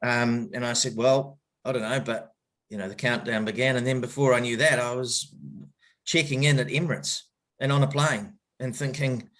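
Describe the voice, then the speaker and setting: A man's voice: mid-range voice, fairly even intonation, moderate pace, fairly bright tone. A middle-aged man with a New Zealand accent, telling his story in a podcast interview, hesitant and full of fillers and restarts but open and reflective. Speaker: guest, cricket coach.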